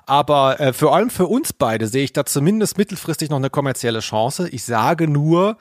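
A man's voice: fairly high pitch, lively intonation, normal speed, no room sound. A man co-hosting a podcast, telling things casually with dry, tongue-in-cheek humour. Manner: dryly humorous, tongue-in-cheek. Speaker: podcast co-host.

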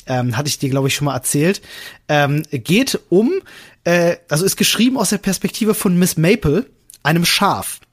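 A man chats as a podcast co-host. His delivery is colloquial and halting, as he feels his way to the right word. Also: fairly high pitch, lively intonation. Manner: hesitant, searching for words, colloquial. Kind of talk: casual podcast chat.

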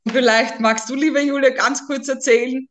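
A chatty woman from near Vienna co-hosts a casual podcast in a somewhat high voice. Her German has an eastern Austrian, lightly Viennese accent. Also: fairly even intonation, moderate pace, fairly bright tone. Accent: eastern Austrian German, slightly Viennese. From Lower Austria, near Vienna. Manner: talkative, chatty. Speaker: podcast co-host.

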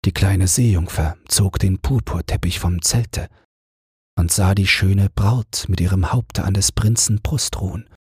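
A man narrating a bedtime fairy tale as an audiobook, in a calm, gentle and measured manner. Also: deep voice, breathy edge, dry recording, clean studio sound.

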